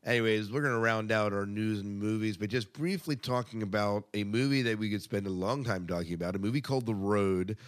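Low-voiced man chatting as podcast host. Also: fairly quick, few pauses. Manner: conversational, tosses questions to co-host. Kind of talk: casual movie news chat.

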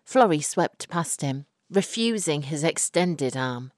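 A woman reads scripted fiction as an audiobook narrator. Her voice is low and her pace slow, with deliberate, dramatic phrasing. The recording is close and dry, free of room sound. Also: animated, dry recording.

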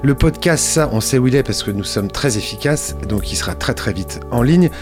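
A man's voice: mid-range voice, expressive, normal speed, over music. A man hosting a radio show, talking in a loose, rambling way with many fillers and restarts. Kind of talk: casual radio chat.

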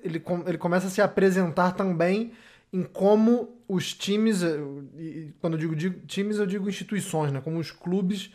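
A man's voice: high-pitched, normal speed, darkish tone. A man with a Brazilian accent hosting a conversational podcast, hesitant and often searching for his words. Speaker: podcast host. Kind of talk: conversational discussion, posing a question.

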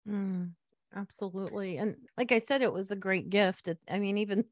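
Woman, medium-pitched, chatty and earnest, laughs between phrases, dry close recording. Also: fairly even intonation, moderate pace, no echo. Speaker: spiritual medium. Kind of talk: casual podcast conversation.

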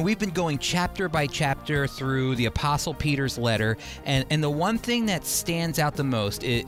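A man hosting a radio program, teaching the Bible in a conversational, earnest and emphatic way, repeating phrases for emphasis. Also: mid-range voice.

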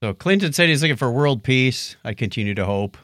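A man's voice: medium pitch, expressive, fairly quick, a bit bright, no echo, clean studio sound. A man in his fifties co-hosting a podcast in casual, jokey banter, acting out little quotes as he talks. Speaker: podcast co-host. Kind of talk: casual banter and listener feedback.

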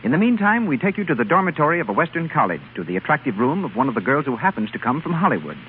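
A man's voice: fairly high pitch, very animated, very fast, slight background noise. A man announcing and narrating from a script in 1930s radio style. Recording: narrow, lo-fi 1930s radio broadcast. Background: music.

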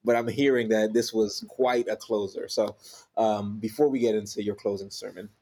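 A man with a fairly low voice hosting a faith podcast. He talks in an easygoing, casual way, pausing often with fillers as he introduces his guest. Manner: relaxed, many "um" fillers. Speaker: podcast host.

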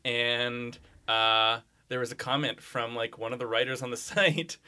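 A man's voice: mid-range voice, fairly even intonation, unhurried, bright, forceful tone, clean tone. A man chatting casually as a podcast guest, telling stories in a rambling way full of "like"s. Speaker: podcast guest, comics blogger.

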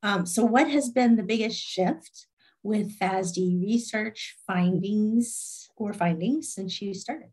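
A woman's voice: medium pitch, slow, darkish tone, husky. A woman conducting a conversational interview, giving brief affirming responses and putting questions to her guest.

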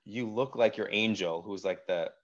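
A man in his forties from Wisconsin, a guest telling stories in casual conversation, with a deep voice and a chatty manner full of "like" and "um". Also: normal speed, lo-fi sound. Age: 40s. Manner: animated storyteller, frequent "like" and "um".

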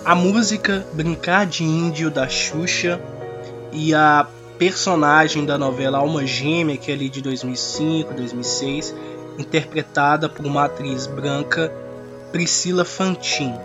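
A man's voice: a little high, steady tone, a little slow. Brazilian male podcast host over music. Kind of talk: podcast narration and interview.

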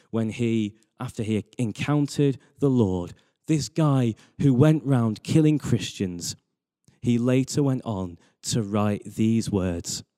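A man's voice: mid-range voice, mellow. A man preaching a sermon in an earnest manner, stressing his points with repeated phrases. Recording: reverberant hall.

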